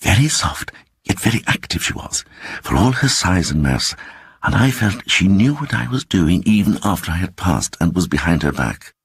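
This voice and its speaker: Older man reading as a timid, husky, confiding Englishman. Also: sits low, sing-song, moderate pace, dry recording, pitched above the performer's own voice, heavily acted. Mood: uneasy, hushed. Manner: hesitant, confiding. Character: timid, apologetic Englishman recounting an uncanny experience.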